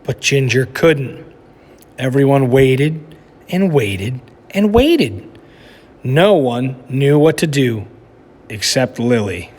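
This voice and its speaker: Animated man reading a picture book aloud. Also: medium pitch, slow, long pauses. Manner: animated storytelling, asks children questions. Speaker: teacher. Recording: echoey room with long reverb.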